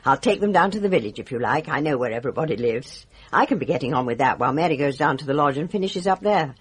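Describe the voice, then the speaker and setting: An actress playing an older British village nurse in a radio drama, chatty, bustling and familiar. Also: female voice, low voice, lively intonation, quick pace, slightly rough. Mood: helpful, brisk. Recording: radio drama with faint background noise.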